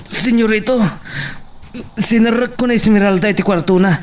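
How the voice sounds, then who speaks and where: A villain's deferential henchman, played by a man speaks hesitantly in a radio-style drama. The voice is slightly high, with clear chirping noise behind it. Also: normal speed, close to an everyday voice. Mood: nervous, reporting. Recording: noisy with chirping insects.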